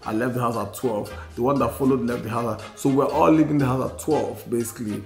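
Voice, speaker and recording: A man's voice: mid-range voice, fairly quick, creaky. Nigerian man reflecting in a roomy space. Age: 40s. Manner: reflective, repeats phrases for emphasis. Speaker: podcast co-host. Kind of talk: candid personal storytelling in a podcast conversation. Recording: roomy sound with faint music. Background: faint music.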